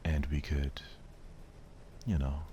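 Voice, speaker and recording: A man voicing a shy, gentle, bookish schoolgirl in a soft, hesitant manner for a visual novel. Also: very deep voice, level tone, slow, a little hiss or hum. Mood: shy, earnest.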